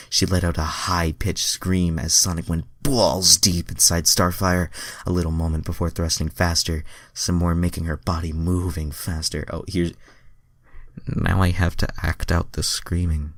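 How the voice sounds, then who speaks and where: A man's voice: deep voice, little pitch movement, unhurried, dry recording. A man giving an amateur dramatic reading of fan fiction, breaking off for self-mocking asides.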